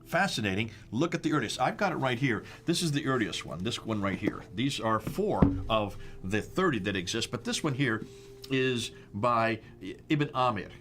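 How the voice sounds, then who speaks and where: A man's voice: medium pitch, fairly bright tone, slight background noise. A man with an American accent hosting a discussion, walking through slides with emphatic rhetorical questions and stressed dates. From United States. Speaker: host.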